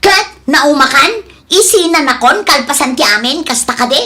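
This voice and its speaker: A woman's voice: sits high, expressive, normal speed, bright, forceful tone. A woman playing a cheeky, carefree wife in a comedy radio drama, whining and flippant. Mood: indignant.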